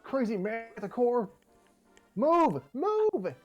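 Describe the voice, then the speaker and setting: Guy putting on a jumpy young cartoon character, way up high, melodramatic, music behind. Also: big pitch swings, very slow, dull audio, full character voice. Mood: alarmed.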